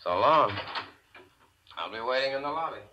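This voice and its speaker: A man's voice: medium pitch, lively intonation, normal speed, sharp tone. A man playing a wary, loyal gangster henchman in a 1940s radio drama. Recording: muffled, noisy old radio recording.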